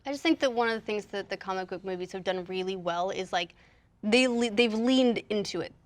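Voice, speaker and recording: Woman, average pitch, informal wry delivery, casual panel discussion. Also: brisk. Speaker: panelist on a film and gaming talk show.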